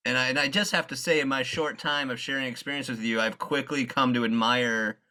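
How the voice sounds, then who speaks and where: Earnest, halting male interviewer. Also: mid-range voice, fairly even intonation, bright, forceful tone. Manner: hesitant, full of fillers and restarts, earnest. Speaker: interviewer, facilitator. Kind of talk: interview hosting.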